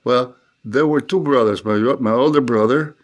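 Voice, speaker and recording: An older man from Cuba speaks as an interview guest, recalling things in a measured, reflective way. He often stops and restarts mid-phrase, and his voice sits at an ordinary middle pitch. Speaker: theologian guest. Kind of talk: unscripted interview talk.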